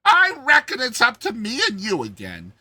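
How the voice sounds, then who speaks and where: Old desert prospector: high, bright, exclamatory rustic drawl, affectionate storybook character. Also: male voice, huge pitch swings, moderate pace, dry recording, heavily acted. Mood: wistful, affectionate.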